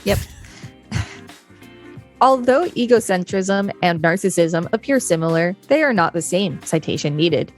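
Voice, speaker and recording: A woman's voice: fairly low pitch, lively intonation, unhurried, pauses often, soft background music. A woman co-hosting a podcast, narrating an encyclopedia article read straight from the text.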